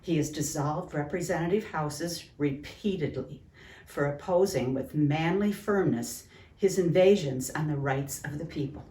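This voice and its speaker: A woman's voice: low-pitched, darkish tone. A woman giving a formal public reading of a historical declaration. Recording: echoey, distant mic, faint ambient noise.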